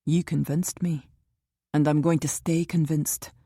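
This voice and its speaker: A woman doing a calm, protective man. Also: deep voice, slightly rough, no echo, clean studio sound, heavily acted. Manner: measured, reassuring, grimly insistent. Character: calm, protective older man, steady and wry.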